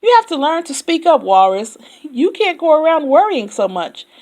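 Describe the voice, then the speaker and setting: A woman's voice: sits high, sing-song, normal speed, pitched above the performer's own voice. A woman doing a nonstop-talking yak taxi driver. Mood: chattering. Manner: loud, rambling, indignant chatter.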